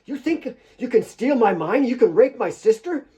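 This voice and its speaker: A man voicing a bitter, taunting woman, pitched high. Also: lively intonation, normal speed, dark tone, husky, full character voice. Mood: furious. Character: bitter, jealous, hard woman confronting her lover.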